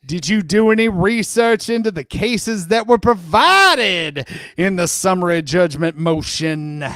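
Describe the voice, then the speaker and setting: Guy acting as a lawyer grilling a witness in a depo reading, high voice, takes his time, dry close sound. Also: lively intonation, measured pace, a bit bright, dry recording. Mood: pressing. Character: male lawyer questioning a witness in a deposition, insistent. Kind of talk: dramatic reading of a deposition transcript.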